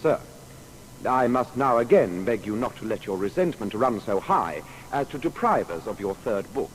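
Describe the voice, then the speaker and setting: Actor as earnest, animated gentleman scholar. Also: mid-range voice, moderate pace, natural voice, low-quality recording. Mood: earnest pleading. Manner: formal, entreating, deferential. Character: Edmond Halley, earnest, courteous, pleading scholarly gentleman. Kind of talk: dramatised historical letter reading. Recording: faint background noise.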